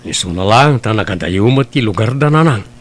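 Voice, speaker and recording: A man's voice: lowish voice, animated, a little fast. A man with an Ilocano accent playing a dutiful grown son and farmer in love in a family audio drama. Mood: defensive. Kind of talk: Ilocano radio-style drama acting.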